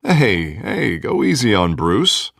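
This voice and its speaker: An American man in his thirties playing an earnest, excitable district attorney and loyal friend in a video game, emphatic and colloquial. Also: fairly low pitch, slow pace, gravelly, dry recording, clean studio sound, full character voice. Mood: friendly.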